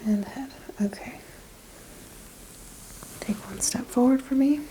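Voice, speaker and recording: A soft-spoken woman doing an ASMR chiropractic roleplay, giving gentle clinical questions and step-by-step instructions, often dropping to a whisper. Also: high-pitched, takes it slowly, slight background noise, poor audio.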